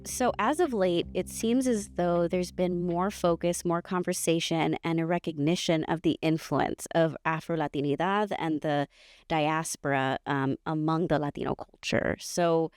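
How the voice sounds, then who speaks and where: A woman podcast co-host asking a guest a measured question. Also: on the low side, darkish tone, no room sound, clean studio sound. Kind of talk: interview question.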